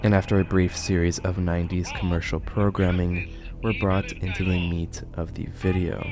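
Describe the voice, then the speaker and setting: A man's voice: low-pitched, level tone, moderate pace, music in the background. A man narrating and commenting on horror videos as an online host.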